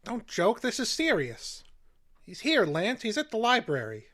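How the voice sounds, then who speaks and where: A man's voice: high-pitched, wildly swooping pitch, measured pace, a bit bright, full character voice. An actor playing an anxious, simple-hearted handyman, folksy and repeating his phrase endings in radio drama style. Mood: pleading. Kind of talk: radio drama character acting.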